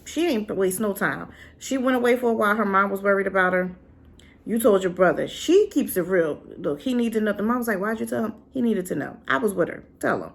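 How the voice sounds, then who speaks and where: A divorced adult woman giving a sassy, animated casual review straight to her viewers. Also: medium pitch, brisk, creaky. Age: adult, been married and divorced. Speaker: drama reviewer.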